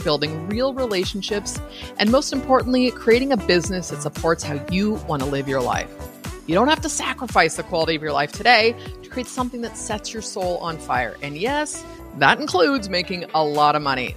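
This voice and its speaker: A woman's voice: on the low side, a bit bright. A middle-aged woman hosting a podcast, chatting casually with frequent laughs and asides. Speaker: podcast host.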